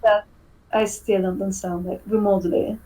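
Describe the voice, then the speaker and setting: A woman whose first language is Spanish, chatting as a game developer guest on a podcast, animated, peppering her talk with "right?" and swearing freely. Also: mid-range voice, moderate pace, low-quality recording. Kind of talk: casual podcast chat.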